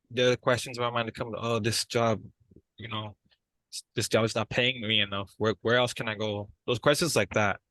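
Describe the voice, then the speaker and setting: A man plays a frustrated, unsure brother in a therapy dramatization. His bright, tense voice comes through close and dry, and he speaks haltingly, at an average pitch for a man. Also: dry recording, natural voice, poor audio. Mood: uncertain, defensive. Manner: hesitant, halting. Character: frustrated, unsure brother struggling to keep a job. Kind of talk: dramatized therapy session, conversational.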